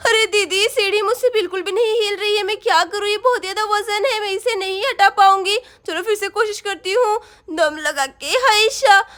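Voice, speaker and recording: Woman doing a flustered helpful neighbor, very high voice, hurried. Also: a bit bright. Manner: flustered, reassuring.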